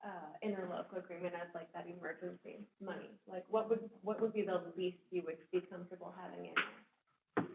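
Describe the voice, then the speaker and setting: A woman's voice: lowish voice, fairly even intonation, fairly quick, vocal fry, very noisy. A woman on a school board who asks practical questions about budget reserves. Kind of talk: meeting discussion. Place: school board meeting room. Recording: echoey room over a tinny line.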